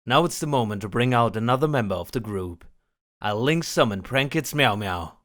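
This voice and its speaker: A man's voice: mid-range voice, expressive, normal speed, a bit bright, natural voice. A man playing a determined, earnest hero duelist in a fan audio drama. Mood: focused, commanding. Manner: earnest, resolute. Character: determined, brooding male hero duelist, kind to companions. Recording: music behind the voice.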